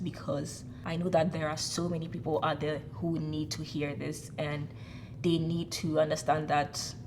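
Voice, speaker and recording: A woman's voice: sits low, noisy background. A woman hosting a casual interview, speaking in an earnest, encouraging way. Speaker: host.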